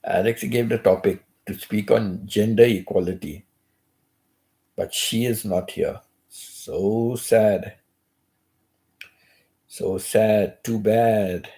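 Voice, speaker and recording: An older man hosting a casual chat from his bed, keeping his voice low and nearly whispering, so it comes out hoarse. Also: steady tone, slow pace, pauses often. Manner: speaks softly, almost whispering; hums tunelessly between thoughts. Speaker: channel host. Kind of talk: casual rambling livestream chat. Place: bedroom, in bed.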